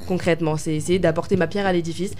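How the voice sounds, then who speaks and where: A woman's voice: fairly low pitch, fairly even intonation, quick pace, warm tone, slight background noise. A young woman from France answering interview questions as a pageant winner, hesitant and full of fillers and restarts. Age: young adult.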